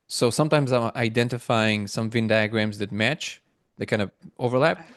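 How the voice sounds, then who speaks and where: A middle-aged man from Russia who later moved to Israel, answering reflectively as an interview guest, haltingly restarting phrases as he thinks aloud. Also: mid-range voice, fairly bright tone, no echo, studio quality. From born in Moscow, Russia, moved to Israel.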